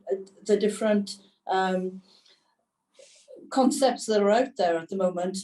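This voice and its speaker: A woman on a webinar panel, speaking in a measured, thoughtful way with pauses and fillers over a video call. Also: mid-range voice, mellow. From Wales. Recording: echoey room, distant mic.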